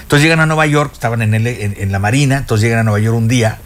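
A man's voice: mid-range voice, racing. An older man with a Mexican accent, chatting as a music expert on a radio show, telling stories with many fillers and restarts. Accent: Mexican Spanish. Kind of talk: casual radio chat. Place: radio studio.

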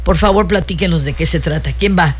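A talk show host, effusive and enthusiastic, introducing guests and promoting local cultural events. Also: female voice, on the low side, brisk, slightly rough.

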